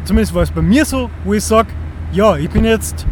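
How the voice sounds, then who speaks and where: High-voiced Austrian man in his thirties. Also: animated, brisk, a little hiss or hum. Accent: Austrian German. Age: 30s. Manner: earnest, searching for words, frequent restarts. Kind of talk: casual rambling monologue.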